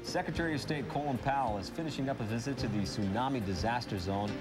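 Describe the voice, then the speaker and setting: A man's voice: mid-range voice, fast talker, over loud music. A man with an American accent anchoring a TV morning newscast, reading news and chatting informally.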